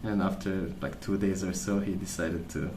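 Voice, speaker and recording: A man rambling casually in a soft-spoken ASMR style, hesitant and meandering as he hosts. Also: deep voice, fairly even intonation, moderate pace, roomy sound, a little hiss or hum. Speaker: ASMR video host.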